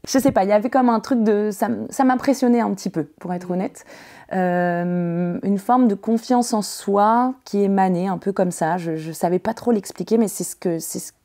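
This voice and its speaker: A woman's voice: medium pitch, moderate pace, dark tone. A woman from northern France in her thirties, chatting as a podcast guest, hesitant, with many fillers and restarts. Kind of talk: casual interview chat.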